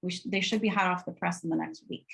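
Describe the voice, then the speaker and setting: A woman's voice: lowish voice, fairly even intonation, quick pace, gravelly, fairly bright tone. A woman with a nasal Wisconsin accent giving an upbeat, informal webinar walkthrough of educational resources in a conversational way.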